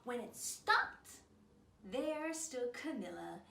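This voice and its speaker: High, animated woman storyteller. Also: gravelly. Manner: theatrical, dramatic pauses and emphasis. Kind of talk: expressive children's picture book read-aloud. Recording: echoey room, distant mic, noisy.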